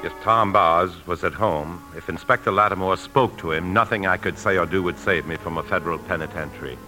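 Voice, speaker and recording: An actor playing a tense, desperate embezzling husband in a 1950s radio drama, speaking with urgent, confessional intensity. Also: male voice, low-pitched, fairly quick, natural voice, rough recording. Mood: haunted, narrating. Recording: old radio broadcast, faint music.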